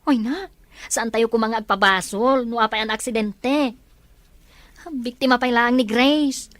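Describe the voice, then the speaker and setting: A woman's voice: a little high, a little slow, a bit bright, dry recording. A woman acting a caring, level-headed friend in an audio drama. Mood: defensive.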